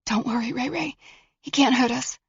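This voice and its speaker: A woman voicing a frightened but defiant teenage girl, shrill and urgent, on a dull recording. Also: brisk, a bit bright, no room sound. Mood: soothing. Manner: shrill and urgent, high and strained.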